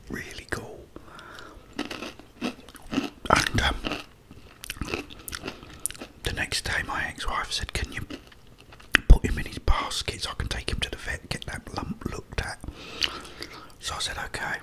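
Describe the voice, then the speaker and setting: Whispering middle-aged British man. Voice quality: breathy whisper. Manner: rambling, confiding, addresses viewers directly. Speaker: ASMR video creator. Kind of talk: whispered ASMR storytelling, casual chat.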